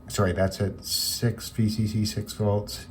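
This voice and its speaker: A man's voice: on the low side, level tone, measured pace, a little hiss or hum. A man giving unscripted commentary as a hobbyist taking electronics apart, musing and tentative as he thinks aloud. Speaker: hobbyist reviewer of radios.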